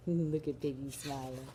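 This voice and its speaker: A woman reading picture books aloud to young children, adding chatty asides as she goes. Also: extremely low pitch. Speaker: storybook reader for young children. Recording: clear background noise.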